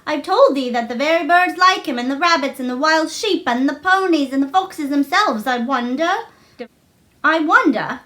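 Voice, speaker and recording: Woman voicing a high, cheerful Yorkshire housemaid. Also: animated, moderate pace, pushed and bright. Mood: reflective, homely. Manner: exclamatory, eager, homely dialect. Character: cheerful chatty young Yorkshire housemaid, warm-hearted and earthy.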